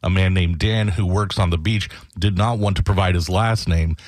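A man's voice: deep voice, steady tone, normal speed, dry recording, studio quality. A man reading a scripted radio news report as a reporter.

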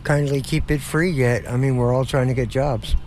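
A man's voice: medium pitch, fairly even intonation, moderate pace, darkish tone. A man giving casual, plain-spoken comments in an interview. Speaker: interviewee, local worker. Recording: faint ambient noise.

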